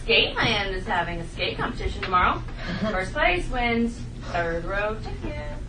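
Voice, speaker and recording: A woman's voice: medium pitch, big pitch swings, normal speed, close to an everyday voice. A woman playing a sly, sardonic teenage big sister, wry and teasing, in a comedy table read. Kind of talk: comedy script table read before an audience. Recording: roomy, distant, clearly noisy recording.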